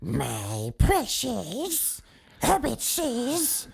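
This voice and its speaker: A man voicing a wretched, obsessive little creature, in a raspy, hissing voice that croons and whines. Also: wildly swooping pitch, drawn-out words, heavily acted. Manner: crooning and whining, with wild pitch leaps.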